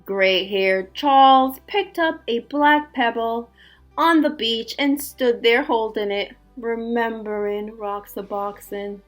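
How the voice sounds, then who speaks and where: A woman teacher reading a storybook aloud to children, expressive and emphatic, with little chatty asides. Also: on the high side, takes it slowly, soft background music.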